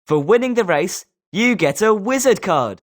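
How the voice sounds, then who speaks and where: A woman's voice: medium pitch, lively intonation, normal speed, close to an everyday voice. A woman with a British accent voicing a confident, sporting schoolboy wizard with a competitive streak in a video game. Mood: congratulatory. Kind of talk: scripted video game character lines.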